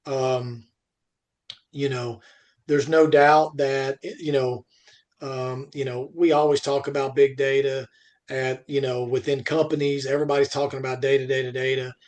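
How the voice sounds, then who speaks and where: A man in casual podcast conversation about his trade, talking in a halting, emphatic way and often repeating himself. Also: medium pitch, little pitch movement, darkish tone. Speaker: podcast co-host. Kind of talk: conversational industry podcast talk.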